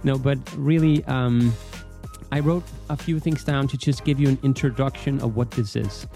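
A man's voice: medium pitch, steady tone, moderate pace, dark tone, faint music behind. A man with a Danish accent that leans American, casually hosting a solo podcast in an informal, playful, rambling way. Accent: Danish with American leanings. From Denmark. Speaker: podcast host.

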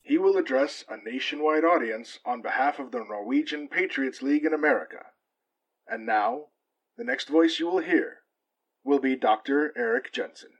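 Husky, animated radio announcer acted by a man. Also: fairly high pitch, normal speed, low-quality recording. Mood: formal. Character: formal 1940s radio announcer.

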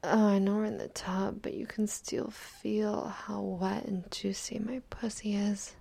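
A young adult woman performing an intimate audio roleplay, speaking mostly in a soft, teasing whisper. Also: medium pitch, level tone, unhurried, gravelly, husky.

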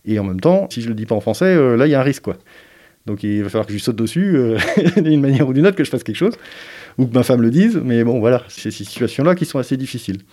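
A man giving an interview as a parent, speaking in a hesitant, thoughtful way with frequent pauses and fillers. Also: medium pitch, lively intonation, mellow. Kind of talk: reflective interview testimony.

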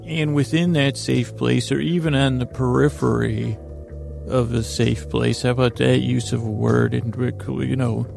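A man's voice: mid-range voice, steady tone, a little slow, creaky, music in the background. A man hosting a sleep podcast, rambling through a meandering bedtime monologue and stumbling over words on purpose. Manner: rambling, self-interrupting, botches words on purpose.

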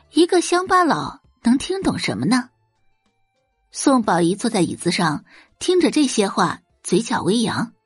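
A woman's voice: mid-range voice, husky, no room sound, studio quality. A woman narrating a web novel as a dramatized audiobook, telling the story expressively.

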